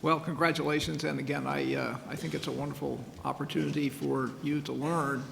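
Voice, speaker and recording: Very gravelly man in an echoey hall. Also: fairly high pitch, flat delivery, a little fast, a bit bright. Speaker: competition judge. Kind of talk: halting, informal questioning. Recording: echoey hall, faint noise.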